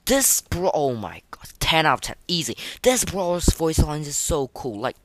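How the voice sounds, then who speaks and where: A podcast host giving casual gaming commentary and ratings in a halting, repetitive way, full of slangy enthusiasm. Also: mid-range voice, big pitch swings, a bit bright, slight background noise.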